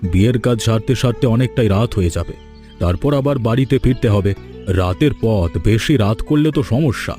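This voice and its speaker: Guy doing a fussy village father-in-law in a cartoon, talks fast, mellow voice, irritable and easily swayed. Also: medium pitch, animated, soft background music, natural voice. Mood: polite, reassuring. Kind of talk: animated cartoon story dialogue.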